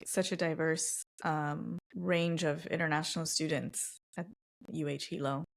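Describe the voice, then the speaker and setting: A woman's voice: sits low, fairly even intonation, a little slow, gravelly. A woman from Los Angeles with an American accent, hosting a casual, reflective conversation in a thoughtful, loosely phrased way. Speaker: podcast interviewer.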